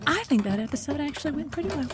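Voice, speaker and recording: A woman's voice: high voice, lively intonation, racing, over loud music. A woman playing an anxious, sarcastic librarian who mutters to herself in a comic audio drama. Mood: mocking, muttering. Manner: talks to herself.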